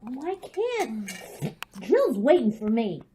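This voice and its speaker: Headstrong little girl, radio drama, high voice, swooping intonation, impatient, childishly eager. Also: very animated, takes it slowly, slight background noise. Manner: loud, definite, childishly eager. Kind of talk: old-time radio drama acting.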